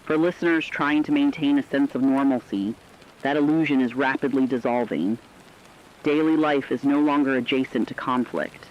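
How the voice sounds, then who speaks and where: Man acting as somber war news anchor, measured and dramatic, kinda muffled lo-fi radio sound. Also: medium pitch, a little hiss or hum, lightly acted. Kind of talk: scripted fictional emergency news broadcast.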